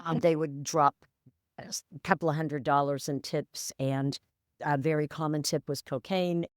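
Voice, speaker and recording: A woman in her sixties tells her story as a podcast guest. Her voice sits low and sounds smooth and resonant. She speaks in a candid, wry, matter-of-fact way, and the recording is dry and close. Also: fairly even intonation, moderate pace, dry recording. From San Francisco, USA. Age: 60s. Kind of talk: reflective storytelling interview.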